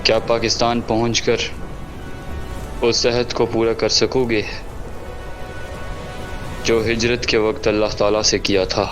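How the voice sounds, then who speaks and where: A man's voice: lowish voice, level tone, unhurried, pauses often, close to an everyday voice. An actor playing a grave, commanding male leader of a refugee caravan in an audio drama. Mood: solemn. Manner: solemn, commanding, gives orders. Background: music score.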